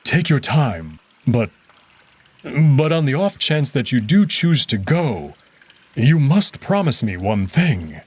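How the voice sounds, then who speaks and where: A soft-spoken male wolf noble, tender and a little formal in how he talks, as a man reads him out. His voice is slightly husky and moves lively up and down. It sits a touch higher than the reader's own. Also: slow, no echo, exaggerated voice. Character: gentle, devoted male wolf noble, adult.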